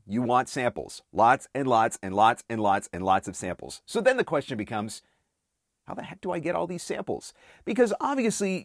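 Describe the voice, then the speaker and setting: A man's voice: medium pitch, no room sound, studio quality. A man coaching in a direct advice-video style, emphatic and repeating words for stress. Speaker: voice-over marketing coach and video host. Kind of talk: direct-to-camera advice talk.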